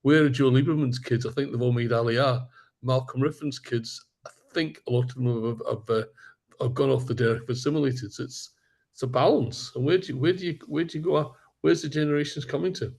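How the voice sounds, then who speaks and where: A man commenting in an online class, halting and repeating words. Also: medium pitch, steady tone, vocal fry, a little mellow. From not from Israel. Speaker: participant. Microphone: video call.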